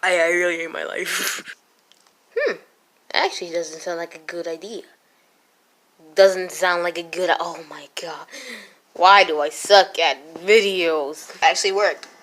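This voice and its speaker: A woman's voice: lowish voice, fairly even intonation, unhurried, pauses often, a bit bright. Rambling self-mocking woman vlogging. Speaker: channel host. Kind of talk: casual vlog chat.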